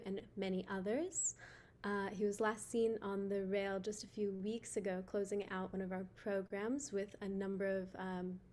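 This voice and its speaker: A woman's voice: medium pitch, mellow. A woman hosting an online event, giving a prepared introduction over a video call. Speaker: event host.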